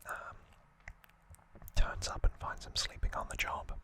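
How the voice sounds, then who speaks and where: Whispering ASMR host, crisp and breathy. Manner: soft, unhurried, conversational asides. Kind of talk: whispered ASMR storytelling, casual recap.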